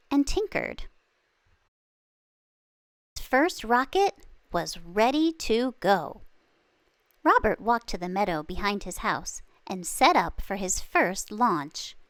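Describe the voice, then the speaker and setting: A woman reading a children's story aloud expressively, with dramatic pauses and emphasis. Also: medium pitch, no room sound, studio quality. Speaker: children's book reader.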